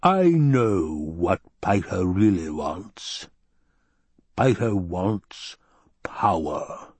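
A man voicing an obese, sinister male baron with a rumbling basso voice, slow and taunting, in an audiobook. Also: very animated, a little mellow, breathy edge, dry recording, exaggerated voice. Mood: gloating. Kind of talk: audiobook character voice.

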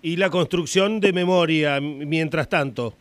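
A man hosts an interview, his voice smooth and resonant with a close, dry sound. He asks long, winding questions and fills the pauses as he talks. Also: fairly high pitch, no echo. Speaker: radio host, interviewer. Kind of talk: radio interview.

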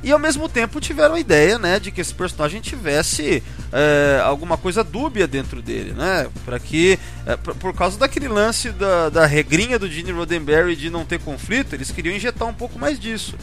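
A man's voice: high voice, big pitch swings, moderate pace, few pauses, a bit bright. A Brazilian man hosting a podcast, casually discussing a TV series and peppering his talk with tag questions. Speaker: podcast host. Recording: music under the voice.

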